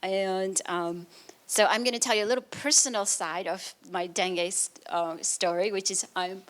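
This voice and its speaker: A woman's voice: medium pitch, fairly even intonation, normal speed. A woman from Nepal, a researcher, giving a public science talk and answering audience questions in an earnest, explanatory way. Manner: earnest, explanatory, frequent restarts. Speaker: immunology researcher.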